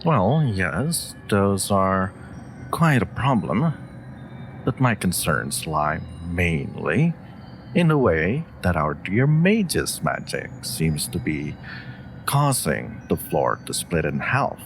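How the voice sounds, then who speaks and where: A low-voiced, haughty wolf familiar acted by a man in a fantasy audio drama. He talks slowly and dryly, with smug, sharp-tongued bite and expressive swings of pitch. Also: soft background music, heavily acted. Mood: dry, concerned. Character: dry, haughty, clever male wolf familiar of a mage. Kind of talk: scripted audio roleplay acting.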